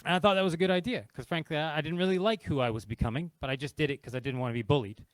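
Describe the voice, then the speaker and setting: A man's voice: on the high side, racing, no room sound. A middle-aged man from Canada with a Canadian accent, telling a personal story at an industry event in a candid, conversational way and admitting he is nervous. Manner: nervous but candid, conversational, walking around while speaking. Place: event venue, in front of a live audience.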